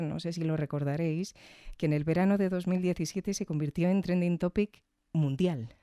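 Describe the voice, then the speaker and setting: Female podcast host, mellow smooth voice, reflective solo reviewer, conversational delivery. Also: low voice, dry recording, studio quality. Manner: reflective, frequently seeking agreement with tag questions.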